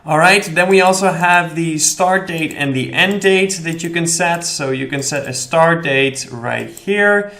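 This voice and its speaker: Young man explaining software settings. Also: fairly high pitch, measured pace, few pauses. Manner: explanatory, steady, with frequent "okay" and "all right" check-ins. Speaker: affiliate marketing instructor. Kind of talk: screen-recorded tutorial walkthrough. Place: indoor room at a desk.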